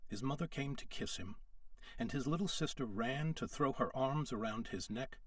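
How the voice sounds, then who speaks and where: A man's voice: mid-range voice, expressive, moderate pace, dry recording, far from the mic. A man reading a storybook aloud as a narrator, in scripted storytelling style. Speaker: storyteller, audiobook reader.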